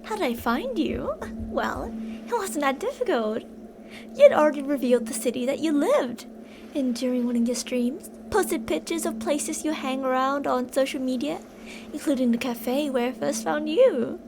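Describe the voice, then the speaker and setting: A woman's voice: high voice, lively intonation, moderate pace, noisy background. A woman playing an obsessive, possessive female fan turned kidnapper in an intimate, dramatic ASMR roleplay monologue. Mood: smug, fixated. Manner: intimate, swinging between insistent pleading and soft whispery menace.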